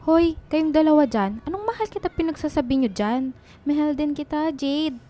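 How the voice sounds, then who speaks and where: Woman acting high-pitched young best friend Milana. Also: lively intonation, moderate pace, mellow. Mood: teasing, lively. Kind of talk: radio drama acting.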